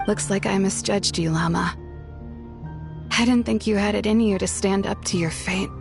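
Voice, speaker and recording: An actress playing a proud, bitter young renegade in a video game, with a cutting, dramatic delivery. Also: female voice, medium pitch, normal speed, vocal fry, music in the background, close to an everyday voice. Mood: wry, resigned. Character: proud, bitter young woman who deserted her clan. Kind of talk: video game character dialogue.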